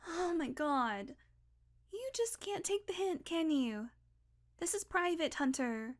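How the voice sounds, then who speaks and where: A woman's voice: extremely high pitch, expressive, exaggerated voice. A woman playing a sardonic, blunt vampire who is guardedly caring and coaxing, in an intimate audio roleplay. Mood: irritated, guarded. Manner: sarcastic, blunt, coaxing, sighing, deliberate pauses.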